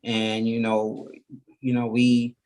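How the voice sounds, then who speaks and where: A man's voice: mid-range voice, completely flat delivery, takes it slowly, a little mellow. A man, a rapper guest from Brooklyn talking loosely in a casual interview, full of fillers. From Brooklyn, New York. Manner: laid-back, loose, full of "you know".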